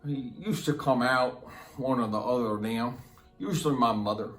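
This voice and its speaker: An actor playing a simple, slow, matter-of-fact rural Southern man who confesses in a halting, plain-spoken, repetitive drawl, as a dramatic film monologue. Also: mid-range voice, close to an everyday voice. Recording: roomy echo, music underneath.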